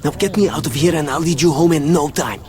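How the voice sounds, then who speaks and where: Guy voicing tough loyal game survivor, high voice, blunt and sweary, music blaring behind. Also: fast talker, lightly acted. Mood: grateful. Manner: foul-mouthed, blunt, urgent. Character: brash, loyal male comrade, tough survivor under fire. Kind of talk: video game voice acting. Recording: loud music over the voice.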